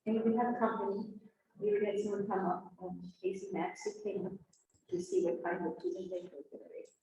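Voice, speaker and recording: A woman's voice: medium pitch, fairly even intonation, fairly quick, warm tone, dull audio, slight background noise. A woman, a library board trustee, chatting casually before a meeting and trailing off mid-sentence. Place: meeting room with a video-call setup. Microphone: room microphone, from far off. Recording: echoey room audio picked up from far off.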